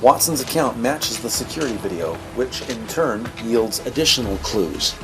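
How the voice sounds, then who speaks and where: An actor playing a measured, factual male narrator of a police audio drama. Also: medium pitch, lively intonation, natural voice, poor audio. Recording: prominent music underneath.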